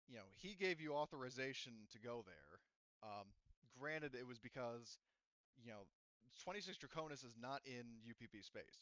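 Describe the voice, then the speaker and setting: A man speaks casually as a tabletop game master, circling back and restating himself as he explains. His delivery is halting and unscripted, at an average pitch. The sound is dry and a little dull, like lo-fi voice chat. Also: fairly bright tone, no room sound.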